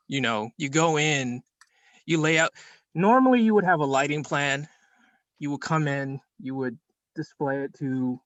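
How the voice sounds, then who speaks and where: A man's voice: medium pitch, a bit bright, no echo, rough recording. A man giving a casual, practical explanation in a matter-of-fact way, as a student cinematographer.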